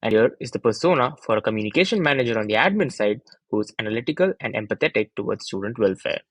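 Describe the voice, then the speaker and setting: A man's voice: on the low side, lively intonation, fast, a bit bright, slightly rough, no room sound. A young adult man reading a scripted research presentation as a student narrator, in an orderly, explanatory manner.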